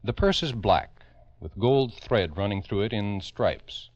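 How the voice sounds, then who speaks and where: Grave low-voiced male radio narrator, dramatic swings. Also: expressive, a little slow, no echo. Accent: American. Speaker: narrator and host of a true-crime radio drama. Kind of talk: 1950s radio narration, scripted. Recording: dull old radio recording.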